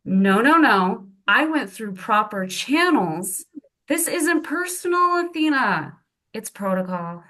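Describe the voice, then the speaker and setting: A woman's voice: fairly high pitch, sing-song, slow, sharp tone, full character voice. A woman playing a cold, confrontational official in a drama table read, with formal, pointed delivery. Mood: formal, firm.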